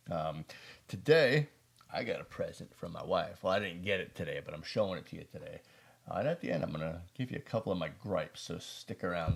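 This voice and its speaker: An American man with a deep voice and plenty of gravelly fry. He talks to his viewers as a casual prepper host reviewing gear. His delivery rambles, with folksy asides and a self-deprecating streak. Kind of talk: casual product review talk to camera.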